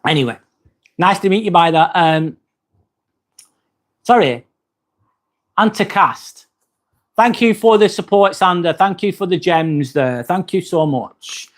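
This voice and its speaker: A man with an accent close to Manchester hosts a relaxed livestream, chatting easily with his viewers. His voice sits a touch higher than most men's, and he often fills pauses with a quick "um". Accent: northern English, near Manchester. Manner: chatty, friendly, frequent "um" fillers. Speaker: livestream host. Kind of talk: casual livestream chat reading comments.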